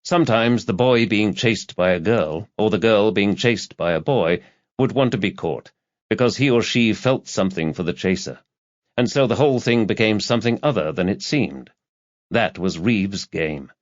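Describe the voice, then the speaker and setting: A man with a fairly low voice reads a literary thriller as an audiobook narrator. His delivery is measured and dramatic, like scripted storytelling. The sound is very dry and slightly dull, with no room around the voice. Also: animated, no room sound.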